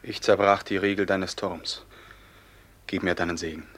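Low-voiced, tormented robber captain. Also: male voice, level tone, moderate pace. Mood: subdued dread. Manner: solemn, theatrical, swings from hushed to outcry. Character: tormented robber captain, brooding, passionate, remorseful son. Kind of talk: classical German radio play acting, declamatory stage diction.

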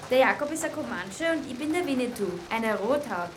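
A performer playing a bossy, taunting child in a radio drama, slow and insolent. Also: medium pitch, lively intonation, noisy background, lightly acted. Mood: boastful. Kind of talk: German radio drama acting.

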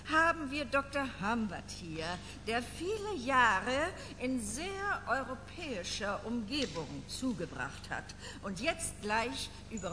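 An actress in a radio play playing a formal, effusive hostess, with a ceremonious, deliberate way of announcing. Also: female voice, on the high side. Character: formal, effusive female host introducing a lecturer. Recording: reverberant hall, faint noise.

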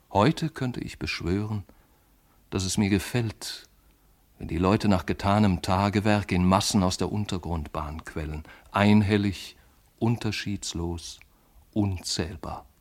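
An actor playing an aging, detached man at peace, speaking a measured, reflective monologue in a literary radio play. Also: on the low side.

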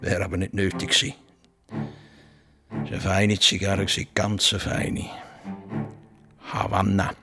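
An actor playing a blunt, terse rural farmer with a Swiss German accent in a radio drama. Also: male voice, low voice, flat delivery, a little slow, long pauses, music in the background. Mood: matter-of-fact recollection. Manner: terse, plain-spoken, unemotional. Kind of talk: naturalistic radio drama acting.

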